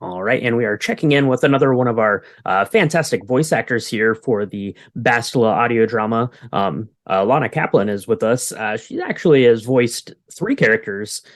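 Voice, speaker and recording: A man with a mid-pitched voice hosts a podcast interview. He talks casually and conversationally into a close mic, with a very dry sound. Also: expressive, moderate pace, hardly any pauses, no echo.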